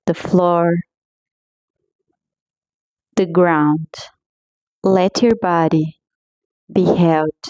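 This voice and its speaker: A woman guiding a meditation in a slow, soothing, gently paced scripted voice. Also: fairly low pitch, dry recording, low-quality recording. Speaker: meditation guide.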